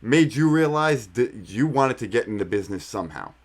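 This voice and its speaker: A man's voice: mid-range voice, animated, fairly quick. A man with an ordinary mid-range voice hosts a podcast. He poses questions to his guest in an easy, friendly manner. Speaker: podcast host. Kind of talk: casual podcast interview.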